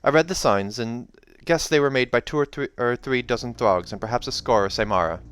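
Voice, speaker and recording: A man's voice: mid-range voice, a little fast, a bit bright, clear, resonant tone, close to an everyday voice. A man voicing a fierce, guarded young huntress in a fantasy storybook, curt and cool, with a put-on accent. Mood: matter-of-fact.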